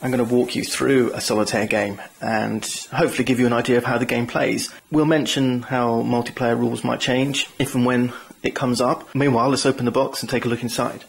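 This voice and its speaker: A man's voice: mid-range voice, steady tone, fairly quick, vocal fry, few pauses, poor audio. A man hosting a board game channel, walking viewers through setup and rules in a chatty, enthusiastic way with self-deprecating asides. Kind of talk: tabletop game rules walkthrough.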